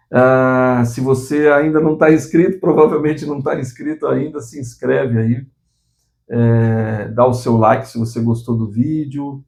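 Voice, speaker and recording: A man's voice: mid-range voice, gravelly, darkish tone. A man in his sixties hosting his own channel, giving an earnest motivational talk to the viewer, often restarting phrases and adding tag questions. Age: 60s. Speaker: channel host.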